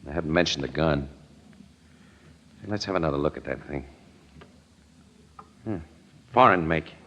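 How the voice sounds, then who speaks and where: A man with an American accent playing a tough, quick-witted, slangy crime photographer in a 1940s radio drama. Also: rumbling low, fairly bright tone, full character voice. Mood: thoughtful, explaining. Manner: slangy, wry, assertive. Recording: muffled old-time radio recording.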